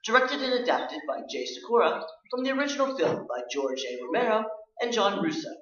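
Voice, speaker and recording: A man's voice: high-pitched, sing-song, a little fast, a bit bright, lo-fi sound. A man formally announcing the credits of a staged radio play. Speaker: announcer reading the cast credits. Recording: echoey stage, distant mic, audience noise.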